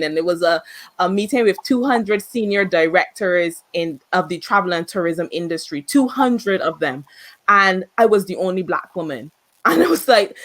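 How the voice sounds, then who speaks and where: A woman from Barbados speaks on a panel with a slightly low voice. Her delivery is conversational and personal, like someone sharing her own professional story. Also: a bit bright. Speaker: conference panelist, marketer.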